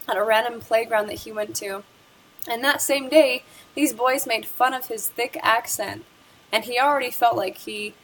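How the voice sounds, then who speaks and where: A woman's voice: on the high side, a little hiss or hum, rough recording. A young woman presenting a school project interview, reading a prepared script about the person she talked with. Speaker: student presenter.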